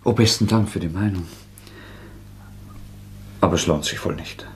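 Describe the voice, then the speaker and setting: An actor in a German radio drama playing a proud, cornered murder suspect with a sardonic, mock-polite manner. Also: male voice, low-pitched, moderate pace, a little hiss or hum, close to an everyday voice. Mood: bitter irony.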